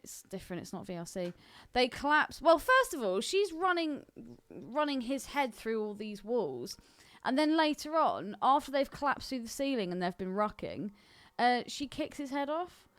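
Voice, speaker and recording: A woman chats casually on a film podcast, with a fairly high and very animated voice. She is opinionated and swears freely. The recording is dry, with little room sound. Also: moderate pace, hardly any pauses, dry recording. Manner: sweary, opinionated, laughs readily. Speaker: podcast co-host.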